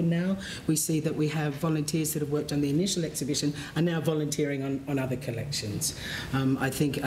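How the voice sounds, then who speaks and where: A panelist speaking earnestly in a panel discussion, sometimes searching for words. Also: warm tone. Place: large conference room. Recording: echoey room, faint rumble.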